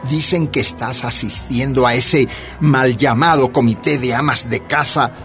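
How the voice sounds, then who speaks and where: An actor playing a stern, domineering church elder who scolds and demands answers, in a radio drama. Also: male voice, mid-range voice, fairly even intonation, measured pace, fairly bright tone, soft background music. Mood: accusing. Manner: scolding, authoritarian, insistent questioning. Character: stern, domineering male Jehovah's Witness congregation elder. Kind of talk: Spanish-language radio drama acting.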